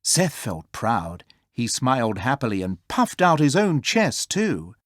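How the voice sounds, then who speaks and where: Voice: mid-range voice, very animated, measured pace, fairly bright tone. A storyteller reading a children's story aloud as scripted narration.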